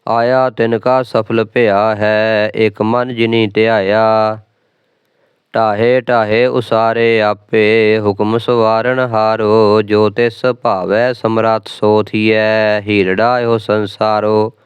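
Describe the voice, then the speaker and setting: A man reciting scripture in steady, measured phrases. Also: fairly low pitch, clear, resonant tone, no room sound. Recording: dry, tinny phone-like sound.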